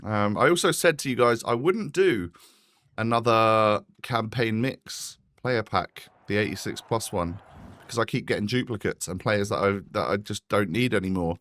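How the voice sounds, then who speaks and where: A man's voice: on the low side, moderate pace, fairly bright tone, dry recording. A British man with a slightly bunged-up nose, sounding tired as he gives chatty, casual gameplay commentary as a gaming host. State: slightly congested.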